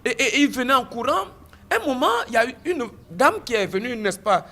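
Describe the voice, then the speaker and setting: A man giving a testimony in an interview, telling his story with animated delivery, stammered restarts and repeated phrases. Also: extremely high pitch, brisk. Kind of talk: recounting a personal story in an interview.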